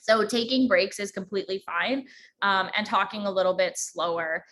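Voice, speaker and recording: A woman with a slight central Alberta accent, a podcast host giving an informal teaching talk, talking fast with many ums. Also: mid-range voice, a bit bright.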